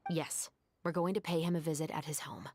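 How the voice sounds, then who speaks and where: An actress playing a composed young woman who is a musketeer captain and investigator in a video game, speaking in a measured, formal, matter-of-fact way. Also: sits low, fairly even intonation, a little mellow, a little hiss or hum, natural voice. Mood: firm.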